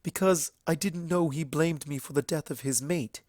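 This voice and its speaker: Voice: medium pitch, darkish tone, dry recording, studio quality, pitched above the performer's own voice, natural voice. Storybook alicorn princess, done by a narrator: mature female mentor, stately, careful, hesitant, voice lifted above plain narration. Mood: puzzled. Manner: formal, measured, hesitant.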